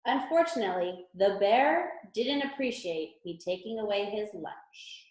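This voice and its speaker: A woman's voice: mid-range voice, lively intonation, unhurried, darkish tone, natural voice. A woman reading a children's storybook as a grumpy, long-suffering stuffed toy, with a sardonic, exasperated manner. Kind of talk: children's storybook read-aloud. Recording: echoey room.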